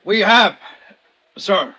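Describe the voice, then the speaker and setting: A man playing a solemn, nervous jury foreman in 1950s radio drama, speaking formally, haltingly and with projection. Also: high-pitched, expressive, bright, forceful tone, no room sound, exaggerated voice. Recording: dull, dry old radio recording.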